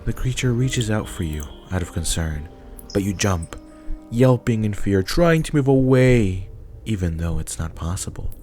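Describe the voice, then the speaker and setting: A man's voice: on the low side, lively intonation, normal speed, warm tone. A man reading scripted second-person story narration for an ASMR roleplay. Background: faint music.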